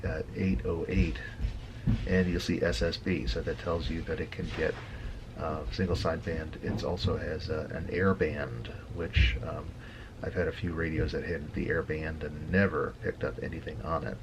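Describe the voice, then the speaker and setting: A middle-aged man with a very deep voice talks through an informal tutorial. His delivery is gravelly and halting, as he thinks out loud while browsing. Faint noise sits behind him. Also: little pitch movement. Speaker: radio hobbyist presenting a tutorial. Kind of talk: unscripted casual explainer.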